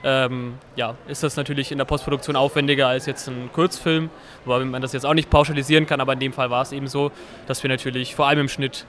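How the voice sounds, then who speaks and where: A nineteen-year-old man, a young filmmaker, explaining his work in a measured way with fillers, outdoors at a festival. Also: medium pitch, little pitch movement, fairly bright tone. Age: teens.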